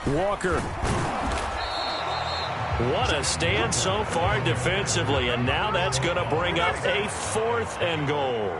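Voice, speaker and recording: A man's voice: sits high, lively intonation, slow, heavy background noise. A man with an American accent acting as a play-by-play announcer, calling an American football game in a video game. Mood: focused. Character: brisk, focused male football play-by-play announcer. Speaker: play-by-play commentator.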